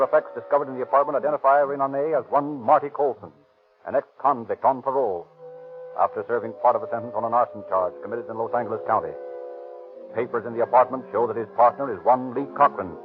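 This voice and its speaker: A man's voice: mid-range voice, fast talker. A man giving formal, dramatic scripted narration for a 1930s radio drama. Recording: tinny 1933 radio recording with music.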